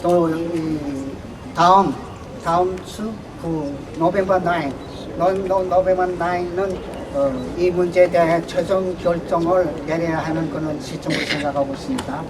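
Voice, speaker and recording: A man makes a formal announcement to a meeting, halting and full of fillers. He talks very fast, a little high, and is heard from a distance over steady noise. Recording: distant mic with noise behind.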